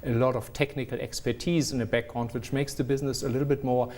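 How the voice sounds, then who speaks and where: A man's voice: medium pitch, little pitch movement, quick pace, a little mellow, slight background noise. A middle-aged man with a non-South African accent, a company executive answering business interview questions earnestly, often restarting as he searches for words.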